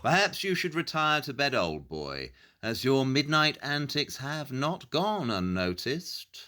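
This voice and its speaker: An actor playing a brilliant, arrogant Victorian detective in an audio drama, with a superior, dryly witty manner. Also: male voice, medium pitch, very animated, measured pace, pushed and bright. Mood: dry, teasing.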